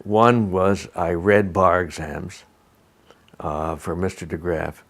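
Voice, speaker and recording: An older man from Albany with an American accent, reminiscing in an oral history interview in a measured, halting, digressive way. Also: low-pitched, fairly even intonation, fairly bright tone. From Albany, New York, USA. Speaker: interviewee, retired lawyer recalling career.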